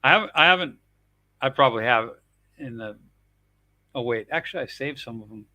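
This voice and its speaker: A man's voice: mid-range voice, expressive, a little fast, sharp tone. A man chatting casually on a live stream panel, rambling loosely and cursing offhandedly. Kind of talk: casual chat.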